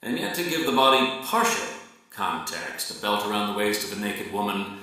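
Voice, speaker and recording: A man plays a musing, didactic artist delivering a halting monologue in a bright, tense male voice. He sounds distant from the microphone, wrapped in strong echo. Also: on the low side, sing-song, heavily acted. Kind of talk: dramatic monologue from a novel. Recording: very echoey, distant from the mic.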